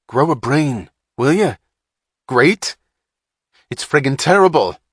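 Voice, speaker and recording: A man's voice: medium pitch, normal speed, fairly bright tone, no echo, studio quality, natural voice. A man doing a brash, snapping tech guy. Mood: irritable. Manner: snapping, abrasive, exasperated. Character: irritable male computer technician, brash, profane.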